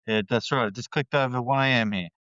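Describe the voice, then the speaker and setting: A man's voice: medium pitch, quick pace, a bit bright, dry recording, lo-fi sound. A man with an Australian accent, a guest talking candidly in a casual interview, rambling with fillers and restarts.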